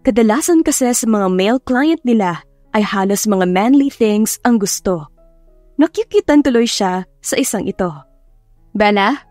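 High-voiced Filipino woman narrating over music. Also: lively intonation, moderate pace, a little mellow, dry recording. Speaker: audiobook narrator. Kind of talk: scripted dramatic story narration. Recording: background music under narration.

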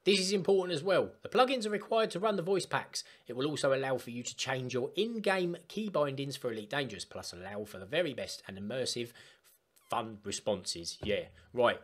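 A man presenting an informal step-by-step software tutorial in an emphatic, instructional way. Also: mid-range voice, lively intonation, brisk. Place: voice-over for screen recording.

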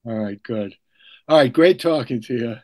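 An older man hosting a podcast, talking casually and deliberately. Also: medium pitch, animated, a little mellow. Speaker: podcast host, author and professor.

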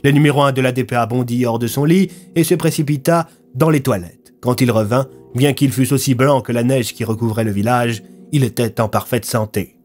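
A man's voice: mid-range voice, brisk, darkish tone. Male storyteller over music. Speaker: narrator of his own audio fiction. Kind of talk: scripted dramatic storytelling in French.

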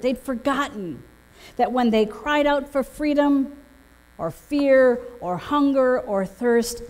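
A woman's voice: high-pitched, a little mellow. A middle-aged woman pastor delivering a prepared sermon with storytelling from a church pulpit. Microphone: gooseneck pulpit microphone. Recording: echoing church hall, long reverb.

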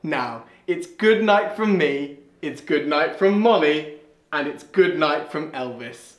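A man's voice: fairly high pitch, lively intonation, slow, echoey room. A young man playing a gleeful, odd children's storytime host in a theatrical, chuckle-prone parody style, recorded in a small room. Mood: excited. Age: young adult.

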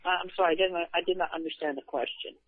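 A man's voice: high voice, flat delivery, very fast. Man on a tinny phone line. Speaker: interviewee. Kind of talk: casual phone chat. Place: phone or internet call. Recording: muffled tinny telephone line.

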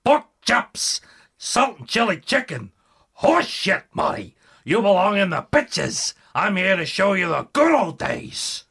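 A cranky old ghost in a comic radio play. The voice is high, harsh and forceful, with a gravelly rasp. He drags his words out loudly, like an old comedian. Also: sing-song, slow pace, full character voice. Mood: cranky, insistent. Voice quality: raspy, strained. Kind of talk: radio comedy drama acting.

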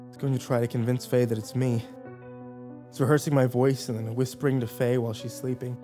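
A man narrating a first-person horror story, hushed and tense, with occasional acted lines. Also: mid-range voice.

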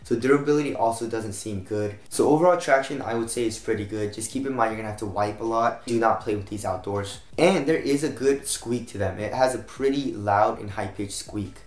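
A young American man with a slightly raspy voice, getting over a cold, giving a casual review to camera as a channel host. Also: on the low side, fairly quick, hardly any pauses. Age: young adult. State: recovering from a cold. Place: small home room, indoors, at a desk. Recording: roomy echo.

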